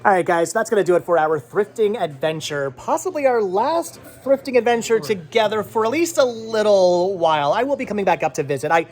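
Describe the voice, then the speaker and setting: A man with a notably high voice hosts a casual vlog. He talks informally to his viewers, rambling and circling back over his own phrases. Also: lively intonation, brisk, few pauses, darkish tone. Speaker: vlog host. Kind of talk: casual chatty vlog to camera. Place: on location at a flea market.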